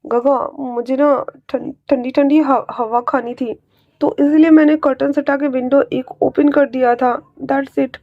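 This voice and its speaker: A woman's voice: sits high, fairly even intonation, measured pace, no echo, narrow, tinny audio, voice pushed up. A woman doing a stammering, frightened young man. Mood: nervous, pleading. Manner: stammering, pleading.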